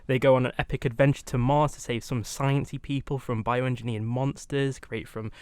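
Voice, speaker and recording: Male podcast debater, clear resonant voice, dry recording, halting and conversational delivery with repeated words. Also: mid-range voice, steady tone, a little mellow, dry recording. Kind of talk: casual debate chat.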